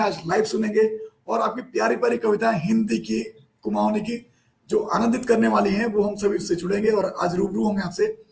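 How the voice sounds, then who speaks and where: Hoarse man hosting a live stream. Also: high-pitched. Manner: apologetic, repeatedly chasing guests about camera problems. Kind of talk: hosting a live online poetry meet. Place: remote spot with poor network.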